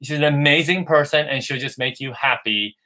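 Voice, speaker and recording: A man's voice: on the high side, brisk, pushed and bright. A man from California chatting casually on a video call, rambling enthusiastically with many restarts. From United States, California. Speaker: guest. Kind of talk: casual livestream chat.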